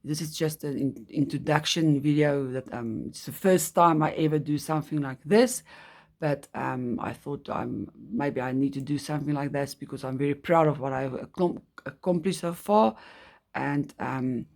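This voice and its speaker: A woman's voice: deep voice, moderate pace, gravelly, a little mellow. A middle-aged woman from South Africa, a native Afrikaans speaker with a South African accent, chatting casually and hesitantly about her hobby with many ums and restarts. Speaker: hobby vlogger. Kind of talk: casual chat.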